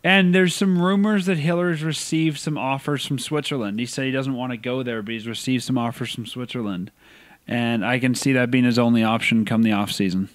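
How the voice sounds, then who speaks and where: A man co-hosting a podcast, giving opinionated, animated takes in casual sports chat. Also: mid-range voice, brisk, dry recording. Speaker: podcast co-host.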